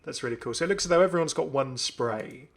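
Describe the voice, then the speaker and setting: Male gaming video host, enthusiastic and casual, medium pitch with noticeable vocal fry. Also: quick pace. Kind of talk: casual commentary on a game update.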